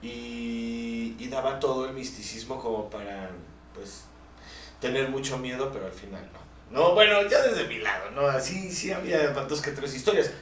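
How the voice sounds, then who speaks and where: A man's voice: mid-range voice, lively intonation, moderate pace, a bit bright. A distant, echoing recording with slight noise holds a Mexican man's voice. He is a podcast co-host in a casual panel discussion, speaking conversationally and with emphasis. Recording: distant, echoey, faintly noisy room recording.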